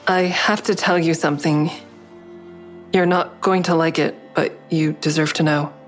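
A woman's voice: deep voice, steady tone, a little slow, soft background music. A woman playing a guilt-ridden, weary heroine who narrates her own story in an audio drama, blunt and subdued. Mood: somber, confessional. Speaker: narrator and lead actor. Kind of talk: audio drama first-person narration and dialogue.

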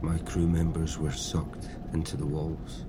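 Low-voiced, haunted astronaut man. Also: flat delivery, measured pace, very noisy. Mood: haunted, somber. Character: haunted astronaut, sole survivor of a lost mission. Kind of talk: audio drama acting.

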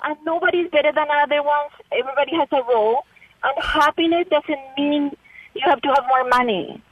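A woman's voice: high-pitched, brisk. Earnest woman calling in. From Chile. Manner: earnest, heartfelt. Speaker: caller. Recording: muffled phone line.

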